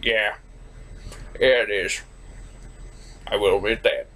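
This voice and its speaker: A man voicing a military puppet co-host who talks tough but is secretly sentimental, in halting, defensive comic banter. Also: lowish voice, steady tone, fairly bright tone. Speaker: co-host of a cartoon review show.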